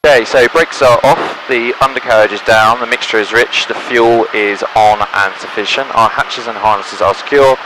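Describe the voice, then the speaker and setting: A man's voice: medium pitch, moderate pace, few pauses, low-quality recording. A man speaking as a private pilot, making radio calls and talking through his flying. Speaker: newly licensed private pilot. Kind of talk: aviation radio calls and cockpit commentary. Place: small Cessna cockpit in flight. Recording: loud aircraft engine noise.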